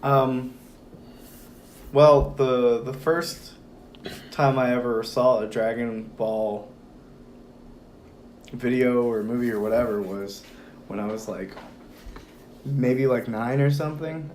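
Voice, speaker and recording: A hoarse young man talking as a guest in a relaxed, rambling conversation with lots of fillers. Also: medium pitch, slow, pauses often, a little hiss or hum. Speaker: podcast guest. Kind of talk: casual conversation.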